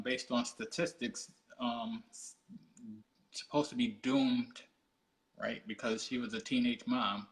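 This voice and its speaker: A man's voice: mid-range voice, a bit bright, low-quality recording. Easygoing man co-hosting a live chat. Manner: easygoing, halting, many ums. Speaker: co-host. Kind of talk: casual live-stream interview.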